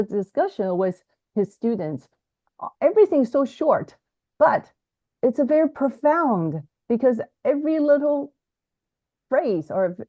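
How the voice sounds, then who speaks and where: A woman's voice: a little high, no echo. A woman whose first language is Chinese, a guest language educator explaining ideas in a teacherly way on a video call, often restarting phrases. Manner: animated, self-correcting, teacherly. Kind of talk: explanatory interview talk. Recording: dry, slightly dull lo-fi audio.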